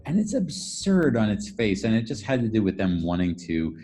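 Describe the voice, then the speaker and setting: A man with a notably deep voice answers as an academic guest in an interview. He is articulate and reflective, building explanations step by step and rewording himself as he thinks. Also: big pitch swings, normal speed, dark tone, soft background music. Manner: articulate, reflective, rephrases as he goes. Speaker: academic interviewee, architectural historian and professor.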